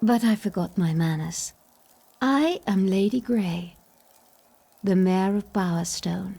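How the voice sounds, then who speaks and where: A woman's voice: mid-range voice, slow pace, creaky, warm tone, breathy edge, heavily acted. A woman playing a haughty, seductive noblewoman mayor in a video game, poised and formal. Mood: coolly charming. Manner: poised, imperious, flirtatiously formal.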